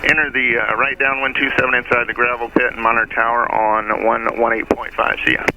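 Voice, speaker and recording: A man working as an air traffic controller, giving brisk, courteous, repeated instructions over aviation radio. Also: mid-range voice, flat delivery, highs cut off. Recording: noisy aircraft radio transmission. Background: aircraft engine noise.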